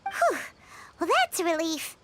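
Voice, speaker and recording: A woman voicing a tiny, childlike, curious and excitable companion creature in a video game, with a squeaky voice. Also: a little slow, a little hiss or hum, exaggerated voice. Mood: relieved. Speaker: game character. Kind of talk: scripted video game voice acting. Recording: loud music under the voice.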